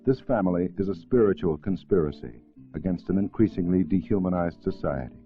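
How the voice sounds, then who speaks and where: Deep formal male narrator, old film sound. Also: faint music behind. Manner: formal, measured. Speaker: documentary narrator. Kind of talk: scripted educational film narration. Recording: narrow-band vintage film soundtrack.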